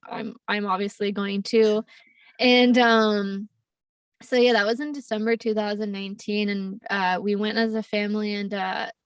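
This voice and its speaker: A woman's voice: medium pitch, clear, resonant tone, dry recording, far from the mic. A young woman raised in Costa Rica talks through her story as a podcast guest, with frequent laughter and loose, filler-heavy phrasing. Her voice sits a little back from the mic in a dry space. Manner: laughs often, rambling with fillers. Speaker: podcast guest, plant medicine facilitator. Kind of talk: casual interview storytelling.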